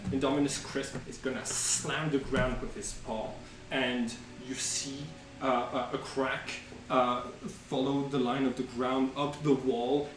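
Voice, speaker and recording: A man working as game master, improvising tabletop narration in a halting way as he searches for words. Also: mid-range voice, noisy background. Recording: roomy, distant mic, music behind. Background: music.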